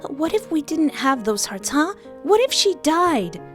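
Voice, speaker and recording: A woman voicing a fierce, angry young woman with magic in a fantasy audio story, speaking in a demanding, sharp-tongued way. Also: high-pitched, very animated, measured pace, faint music behind, voice pushed up, exaggerated voice.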